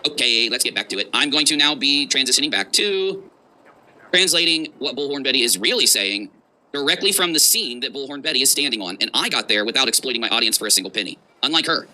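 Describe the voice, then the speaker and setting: A male livestream host delivers mocking reaction commentary at a quick clip. His voice is very bright and forceful, and he leans hard on sarcasm and emphasis. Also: mid-range voice, expressive, rough recording.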